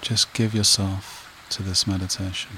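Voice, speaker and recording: Deep voiced guy doing guided hypnosis, really even soothing delivery, rain sounds in the background. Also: slight background noise, low-quality recording. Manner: soothing, repetitive, with short phrases and long pauses. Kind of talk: guided meditation, hypnotic induction. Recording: rain and running water behind voice. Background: steady rain and stream sounds.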